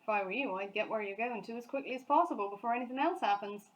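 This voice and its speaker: A woman's voice: fairly high pitch, fast talker, muffled recording, close to an everyday voice. A woman voicing a chatty, concerned working-class male London taxi driver in a children's storybook. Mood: sympathetic. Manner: matey, colloquial.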